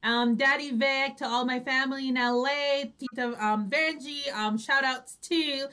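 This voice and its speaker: A high, bright-voiced woman co-hosts a live online show. She chats casually at an unhurried pace, bubbly and quick to giggle. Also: fairly even intonation. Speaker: online radio show co-host.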